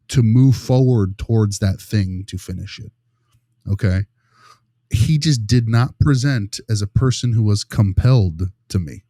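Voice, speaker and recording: A man talking through a case as a panelist, casually and with strong opinions, in an emphatic, animated way with rhetorical check-ins. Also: fairly low pitch, no room sound, clean studio sound. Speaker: panelist discussing a behavioral case study.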